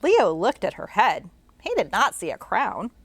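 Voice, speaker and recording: Lively woman reading a picture book aloud. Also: mid-range voice, a little slow, no echo. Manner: animated, talks to young listeners with questions. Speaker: children's librarian.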